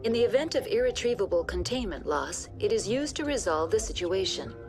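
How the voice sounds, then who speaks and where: A woman's voice: mid-range voice, sing-song, fairly quick. A woman voicing a polite, unfeeling computer interface in a video game, formal and procedural. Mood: helpful, procedural. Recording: prominent game music behind the voice.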